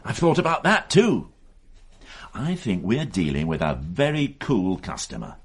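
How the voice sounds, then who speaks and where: An actor playing a dogged, excitable police detective in a radio mystery drama, reasoning aloud with emphatic insistence. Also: male voice, lowish voice, measured pace, breathy edge, exaggerated voice. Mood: excited, insistent. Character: dogged male police detective, excitable, sure of his theory. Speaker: investigator.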